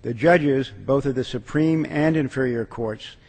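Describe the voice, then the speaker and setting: A man's voice: mid-range voice, measured pace, darkish tone, breathy edge. A man reads a legal text aloud formally, as a legislator. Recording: reverberant large legislative chamber.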